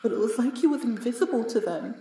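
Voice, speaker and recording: A woman playing a bitter, heartbroken yandere with supernatural power in a dramatic audio roleplay, speaking in an emotional, halting way. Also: on the high side, lively intonation, warm tone, recorded from a distance, lightly acted. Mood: sorrowful, wounded. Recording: roomy sound with faint noise.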